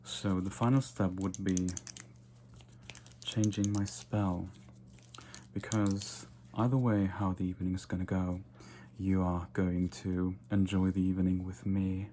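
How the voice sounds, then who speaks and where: A man playing a vain, condescending yet flirtatious vampire in a soft-spoken, nonchalant ASMR roleplay. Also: low voice, little pitch movement, a little hiss or hum. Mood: smug, flirtatious. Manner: soft-spoken, unhurried, repeats words in whispers.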